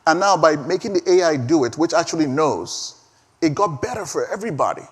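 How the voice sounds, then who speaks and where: A man whose first language is Igbo, giving an energetic, emphatic conference talk and taking questions, often repeating words as he pushes a point. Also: a little high, fairly quick, husky. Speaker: conference speaker. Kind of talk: live keynote talk and Q&A. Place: large conference hall. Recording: reverberant hall.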